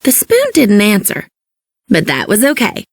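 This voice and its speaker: A woman reading a children's storybook as an expressive narrator. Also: medium pitch, unhurried, creaky, no room sound.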